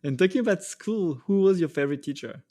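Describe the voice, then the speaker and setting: A man's voice: high voice, animated, quick pace, dark tone. A man hosting a podcast, delivering scripted introductions and interview questions. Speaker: podcast host.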